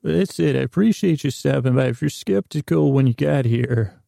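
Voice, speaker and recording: A man hosting a bedtime podcast with a rambling, halting, goofy improvised monologue that trails off mid-sentence. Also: mid-range voice, lively intonation, gravelly, mellow, no room sound, studio quality. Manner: goofy, whimsical. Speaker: podcast host.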